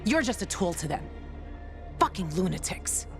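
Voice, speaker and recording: Blunt sardonic young survivor lady in a game, really lively pitch, music behind her. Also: moderate pace. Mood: scornful anger. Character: tough, protective, foul-mouthed young woman survivor. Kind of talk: video game voice acting.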